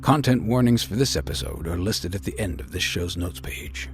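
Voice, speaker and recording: A man's voice: low voice, animated, moderate pace, faint music behind. A man reading scripted announcements as a podcast announcer. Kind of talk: scripted narration.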